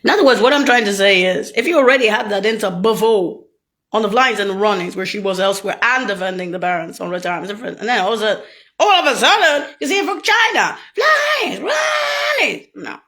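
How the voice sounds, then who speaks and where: A woman talking alone in a rambling, profane rant that jumps between topics and breaks into sudden shouts. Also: mid-range voice, big pitch swings, brisk. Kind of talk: rambling solo monologue.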